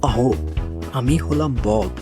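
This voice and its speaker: A man's voice: medium pitch, slow pace, close to an everyday voice. Casting: scolding animal of the cartoon woods played by a man, emphatic, grumbly delivery, music underneath. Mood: scolding, showy.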